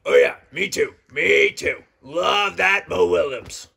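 A man's voice: high voice, slow pace, vocal fry, sharp tone, slightly rough, exaggerated voice. A man voicing a laid-back male shark puppet with a casual, blunt manner. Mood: easygoing.

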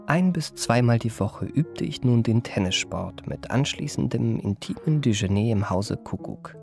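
Radio play narrator: urbane con man, medium pitch, creaky edge, pompous and self-admiring, music behind. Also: animated, moderate pace, a little mellow. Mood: wistful, smug. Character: urbane, self-satisfied gentleman impostor recounting his memoirs.

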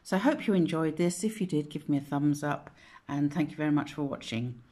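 A woman hosting a casual craft software tutorial at home, chatty and rambling, often correcting herself, with a slightly croaky voice. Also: sits low, lively intonation, fairly quick. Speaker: craft channel host. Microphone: phone camera pointed at a monitor.

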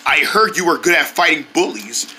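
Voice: medium pitch, expressive, moderate pace, sharp tone, rough recording. A performer voicing a cool, confrontational challenger owl in a playful skit, matter-of-fact and subdued. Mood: challenging. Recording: clear background noise, ambient sound.

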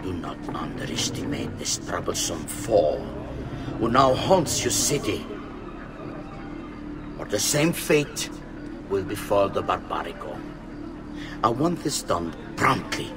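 Brief: menacing Italian cult master, game villain, low and imperious, wide dramatic swings, music behind. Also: male voice, very animated, heavily acted. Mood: stern warning. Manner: imperious, deliberate. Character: commanding, menacing middle-aged master conspirator in Renaissance Venice. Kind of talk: dramatic game cutscene acting.

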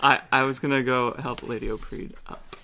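A woman's voice: extremely low pitch, steady tone, moderate pace, pushed and bright, a little hiss or hum. A woman playing a tabletop game, chatting and role-playing in a casual, playful way.

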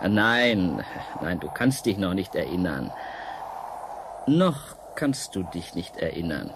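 A coaxing, mysterious painter, swooping delivery. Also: male voice, sits low, very animated, noisy background, exaggerated voice. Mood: mysterious, solemn. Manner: coaxing, dramatic, halting pauses. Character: seductive, mysterious male painter in an ancient world. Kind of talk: radio play acting.

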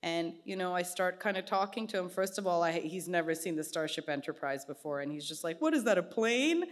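A woman in her thirties, an artist guest in casual onstage conversation, telling stories in an animated way and acting out the voices of people she quotes. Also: on the low side, fairly quick. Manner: animated storytelling, gestures a lot, acts out quoted voices. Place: small gallery event space, indoors. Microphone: stand mic.

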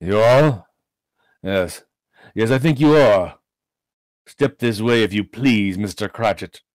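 A man's voice: lowish voice, takes it slowly, a little mellow, dry recording, low-quality recording. A man voicing an old reformed miser from a storybook, teasing with mock sternness, then bursting out in hearty, generous exclamations. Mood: mock stern.

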